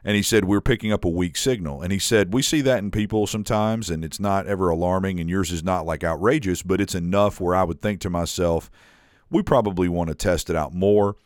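A man's voice: low voice, fairly even intonation, a little fast, dry recording, studio quality. A man co-hosting a podcast, telling a long story in casual chat and acting out what others said, swearing now and then. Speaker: podcast co-host.

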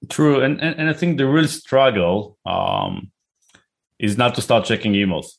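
A man's voice: mid-range voice, unhurried, vocal fry. A middle-aged man with an Israeli accent, a guest entrepreneur talking casually in an interview, often repeating words as he starts a thought. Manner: repeats words when starting, chatty and relaxed.

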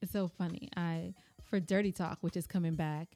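A woman co-hosting a podcast in casual banter, playful, teasing and excitable. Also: on the low side, moderate pace. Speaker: podcast co-host. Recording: music under the voice.

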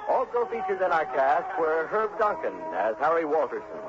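A man's voice: on the high side, sing-song, normal speed. A man announcing scripted credits in a 1960s radio broadcast style. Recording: dull vintage radio, loud music behind.

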